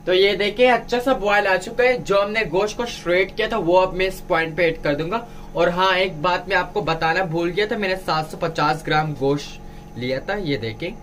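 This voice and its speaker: A man's voice: high voice, moderate pace, a bit bright, a little hiss or hum. A man giving a step-by-step cooking tutorial as a home cook, instructing viewers directly. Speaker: home cook presenting a recipe.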